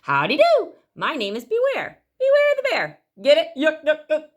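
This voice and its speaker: A silly boy penguin from a storybook, played by a woman, cheerful and corny. The delivery is playful, with a high, very animated pitch that swings around. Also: moderate pace. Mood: goofy joking. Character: silly, goofy, cheerful male penguin telling campfire stories.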